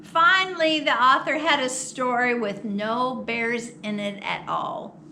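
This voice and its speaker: A woman reading a children's picture book aloud as a storytime host, expressive and theatrical with drawn-out words. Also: fairly high pitch, a little hiss or hum. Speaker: storytime host at a public library.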